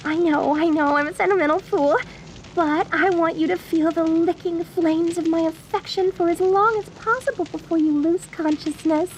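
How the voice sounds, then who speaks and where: A woman playing a gleeful, lovestruck young mortician bride in a dark comedy audio roleplay, girlish and cooing with a sweetly sinister, theatrical manner. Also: very high voice, steady tone, normal speed, a little hiss or hum, rough recording.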